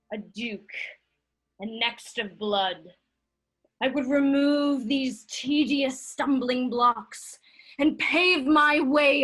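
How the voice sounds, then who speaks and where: A woman playing an ambitious, defiant noblewoman who conjures spirits, speaking deliberate, incantatory verse in a staged play reading. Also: high voice, exaggerated voice, low-quality recording. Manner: deliberate, incantatory, swinging between hushed and declaimed.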